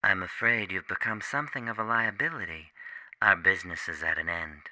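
A man's voice: sits low, fairly quick, sharp tone, no room sound. A man playing a cool, menacing criminal professor in a radio drama, curt and coldly polite. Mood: cool menace.

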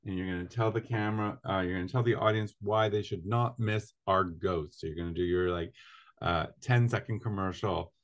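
A man's voice: low voice, brisk, lo-fi sound. Chatty middle-aged male video-call host. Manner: chatty, casual, filler uhs. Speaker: interviewer, host. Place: indoor room with large window.

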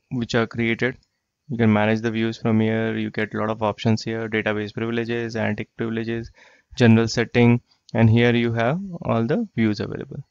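A man walking through a software tool in a screen-share tutorial, explaining plainly and repeating himself. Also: mid-range voice, clear, resonant tone, no echo. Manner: plain explanatory, repeats phrases like "you get the idea". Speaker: software trainer. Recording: dry, slightly dull lo-fi voice track.

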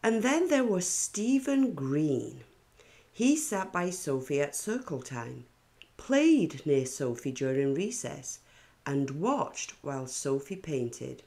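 A middle-aged woman reading a children's picture book aloud with expressive narration. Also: deep voice, slow. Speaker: children's story reader.

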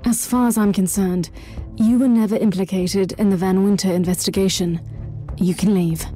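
A woman voices a stern female Inquisitor from a dark sci-fi video game. She is zealous and commanding, clipped and formal in every line. Music plays clearly behind her voice. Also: mid-range voice, fairly even intonation, moderate pace, natural voice. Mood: cool, formal. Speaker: video game lead character.

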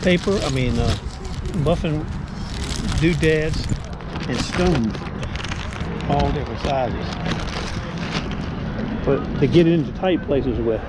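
A man's voice: fairly high pitch, takes it slowly, pauses often. A man giving rambling, self-correcting casual commentary while walking a farmers market with a body-worn camera. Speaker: knife sharpener vlogging at a market. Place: outdoor farmers market parking lot. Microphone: body-worn action camera. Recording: loud outdoor street noise.

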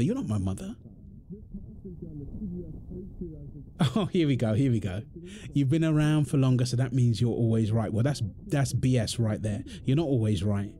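A man's voice: medium pitch, dark tone, a little hiss or hum, lightly acted. A British man playing an exasperated, defensive husband in an audio roleplay, arguing in an insistent, repetitive way. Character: exasperated, defensive husband and father quarrelling indignantly. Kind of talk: dramatic one-sided argument roleplay.

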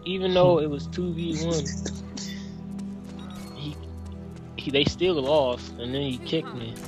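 Excitable gamer man over game music. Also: a little high. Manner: excitable, swearing, quick to laugh. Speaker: host, gamer. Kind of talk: casual gaming chat.

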